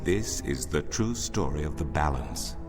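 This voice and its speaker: An actor playing a solemn older priest in a fantasy game, telling ancient lore in a measured, formal, portentous way. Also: male voice, rumbling low, expressive. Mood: solemn, knowing. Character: solemn older male temple priest, a storytelling loremaster. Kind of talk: grand scripted fantasy narration. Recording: music behind the voice.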